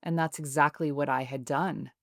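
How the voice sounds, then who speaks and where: A woman in her thirties, a guest author speaking in a calm, soothing, measured way in a reflective interview. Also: low voice, no echo, studio quality.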